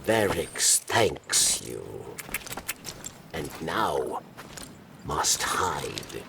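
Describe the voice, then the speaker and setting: An actor playing a cunning, anxious old alien exile in a video game, gravelly and halting, speaking of himself in the third person. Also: male voice, a bass voice, big pitch swings, background noise, exaggerated voice. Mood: urgent, furtive. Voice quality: gravelly, creaky. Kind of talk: video game character acting. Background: loud cinematic game music.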